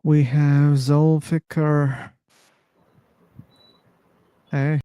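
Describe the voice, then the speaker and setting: A man's voice: a little high, little pitch movement, very slow, dark tone, clean tone, no echo. A man hosting a casual live-stream discussion on a video call, chatting idly and repeating words while he waits. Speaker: host. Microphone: computer mic on a video call.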